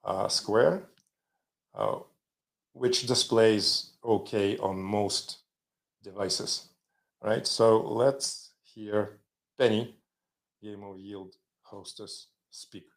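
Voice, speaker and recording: A man's voice: lowish voice, fairly even intonation, very slow, vocal fry, pauses often. A man hosting a live show, rambling and chatty with joking asides. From Ukraine. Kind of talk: casual live-stream demo.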